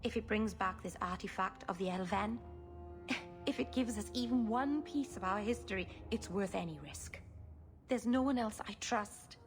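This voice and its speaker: An actress playing a stubborn, anxious young elf woman in a video game, speaking earnestly and haltingly. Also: medium pitch, soft background music, close to an everyday voice. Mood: determined, pleading. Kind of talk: dramatic video game acting.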